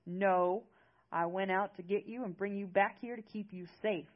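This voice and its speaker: A woman voicing a gruff, protective old rural man in an audiobook, with a folksy drawl. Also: high-pitched, fairly even intonation, moderate pace, muffled recording. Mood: storming mad. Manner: folksy drawl with rustic grammar.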